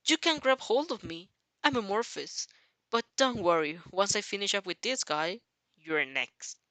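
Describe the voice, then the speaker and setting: A woman's voice: medium pitch, animated, a little slow, fairly bright tone, no room sound. A woman voicing an amused, menacing male slime villain in a fan fiction reading, mocking and condescending.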